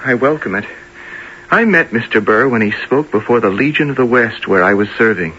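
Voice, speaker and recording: A man acting an earnest, defiant young army lieutenant in a period radio drama, halting and then declamatory. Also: medium pitch, expressive, sharp tone, slight background noise. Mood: fervent, devoted. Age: young adult. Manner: halting when pressed, impassioned and declamatory. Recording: dull lo-fi old radio sound.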